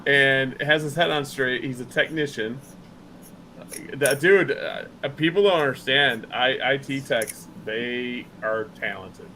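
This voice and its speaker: A middle-aged man hosts a podcast with a bright, forward voice, rambling eagerly and repeating his praise. Faint noise sits under the recording. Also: mid-range voice, slow pace, rough recording. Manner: enthusiastic, rambling, repeats himself. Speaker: podcast host. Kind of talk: casual podcast chat.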